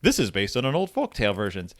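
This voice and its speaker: A man's voice: lowish voice, wildly swooping pitch, quick pace, a bit bright, no room sound, clean studio sound. A man hosting a podcast, talking through books in a casual, rambling, self-interrupting way with an explainer's enthusiasm. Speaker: podcast host. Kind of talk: casual book discussion.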